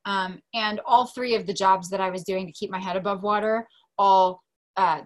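A woman's voice: mid-range voice, fairly even intonation, moderate pace. An American woman in her mid thirties talking casually and expressively about herself as an interview guest. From Delaware, United States. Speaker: podcast guest, teacher and coach. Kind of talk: casual personal storytelling in an interview.

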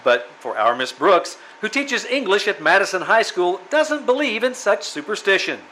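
A man's voice: high voice, sing-song, moderate pace, sharp tone, exaggerated voice, low-quality recording. A man playing an old-time radio show announcer, introducing the story on stage. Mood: scene-setting. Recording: faint noise, live stage show.

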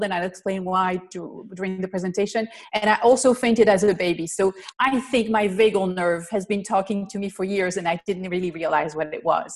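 A woman from Belgium, a physical therapist giving an online presentation in an earnest, explanatory way over a video call. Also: medium pitch. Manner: earnest, explanatory, lists things step by step. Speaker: physical therapist and riding instructor presenting a webinar.

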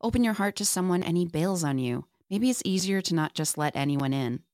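Woman as wry young college woman, lowish animated voice. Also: fairly quick, no echo, clean studio sound, natural voice. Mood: wry, hurt. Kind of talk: table read of a TV script.

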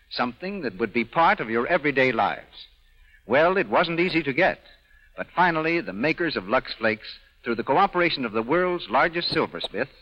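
An American man announcing on 1930s radio, reading a scripted sales pitch with polished emphasis and careful repetition. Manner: polished, emphatic, spelling out and repeating details. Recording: dull, lo-fi 1930s radio broadcast.

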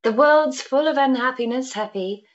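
A woman playing a forthright, compassionate gentlewoman in a period drama, speaking in a direct, firm manner. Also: high voice, normal speed, lo-fi sound, lightly acted. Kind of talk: period audio drama acting.

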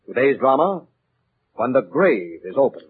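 A man's voice: mid-range voice, very animated, normal speed. A man announcing and narrating in emphatic, dramatic 1940s radio style. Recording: tinny old 1940s radio recording with music.

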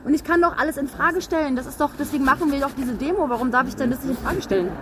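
Female activist interviewee, high and rapid, indignant and insistent, noisy ambience. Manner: emphatic, indignant. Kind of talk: spontaneous argument in interview. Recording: noisy ambience with passing vehicles.

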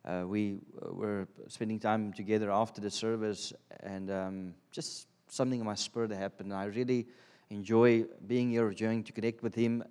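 A man's voice: fairly low pitch, darkish tone. A man in his thirties with a South African accent, Afrikaans his first language, giving an informal sermon as a guest preacher, hesitant with many ums and restarts. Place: church sanctuary. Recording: reverberant church hall.

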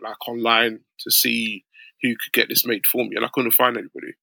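Podcastgast, man uit het VK, raspy en helder, droge opname. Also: mid-range voice, level tone, a little fast, pushed and bright, no echo. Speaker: podcast guest. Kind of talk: casual interview chat.

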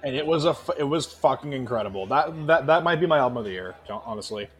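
A man with a mid-pitched voice chats casually as a podcast co-host. He talks in loose, emphatic bursts, doubling back on phrases and dropping swear words freely. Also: animated, fast talker. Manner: profane, hesitant, restarts phrases emphatically. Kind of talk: casual chat about music.

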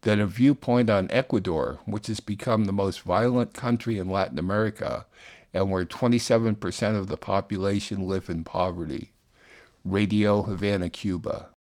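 Low-voiced man presenting a radio program. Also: normal speed, a little mellow. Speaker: radio show host and producer. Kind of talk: scripted radio narration, program announcements.

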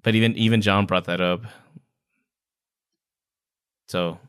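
A man's voice: sits low, fairly even intonation, slow, fairly bright tone, clear, resonant tone. A man co-hosting a podcast, chatting casually and informally about books. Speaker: podcast co-host. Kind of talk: casual book discussion.

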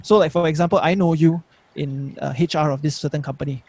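Man, inquisitive, rapid talk, dry recording. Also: a little high, fairly even intonation, creaky, a little mellow, no echo. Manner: inquisitive, probing questions. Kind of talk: casual podcast chat.

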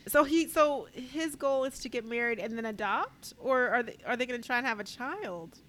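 A woman's voice: fairly high pitch, expressive, normal speed, a bit bright. A woman co-hosting a casual chat show, giving candid opinions in an informal, slangy way. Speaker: co-host of a reality TV recap show.

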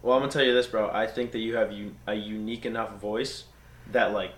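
A man's voice: on the low side, little pitch movement. A young man in a casual chat with friends, rambling along with lots of "like" and "bro". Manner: fast, rambling, says "like" and "bro" often. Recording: echoey room.

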